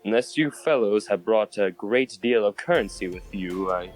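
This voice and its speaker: A man's voice: on the low side, lively intonation, normal speed, natural voice, low-quality recording. A man playing a curious human scientist and engineer in a comic dub, formal and polite with a hesitant manner. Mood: cautious, curious. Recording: voice under background music.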